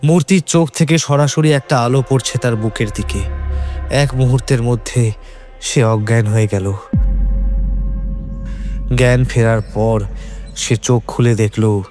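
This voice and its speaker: A man's voice: medium pitch, fairly even intonation, a little slow, long pauses, darkish tone. A man narrating a scripted horror story in a dramatic storytelling style. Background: background music.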